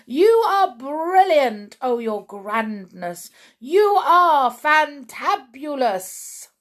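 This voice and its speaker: A woman's voice: sits high, big pitch swings, extremely slow, voice pushed up, heavily acted. A woman doing squealing, frenzied chanting witches. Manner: squealing, cheering, eager.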